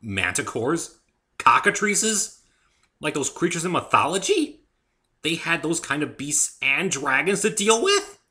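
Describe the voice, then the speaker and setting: A man's voice: a little high, big pitch swings, a little slow, sharp tone, pitched above the performer's own voice, heavily acted. A man playing a wary, exasperated young hero in an amateur story reading, with a dry, questioning manner. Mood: nervous, incredulous.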